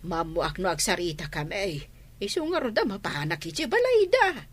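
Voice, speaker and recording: A woman's voice: mid-range voice, sing-song, moderate pace. A woman playing a fretful, disapproving older mother of a grown son in an audio drama. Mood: coaxing. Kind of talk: dramatic radio-drama dialogue.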